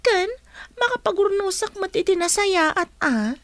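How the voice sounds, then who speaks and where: A woman playing a spirited young student teacher in a radio drama, teasing and animated, with a Filipino accent. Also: extremely high pitch, unhurried, dry recording. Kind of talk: Ilocano radio drama acting.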